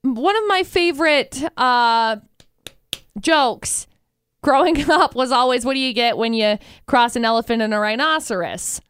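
A woman's voice: a little high, measured pace, fairly bright tone, clear, resonant tone, no echo. A woman co-hosting a talk show in casual, playful, teasing banter. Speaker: radio show co-host.

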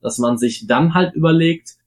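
A man's voice: a little high, normal speed. A man co-hosting a casual wrestling podcast, talking in an opinionated way and weighing his points with frequent fillers. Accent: German. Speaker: podcast co-host.

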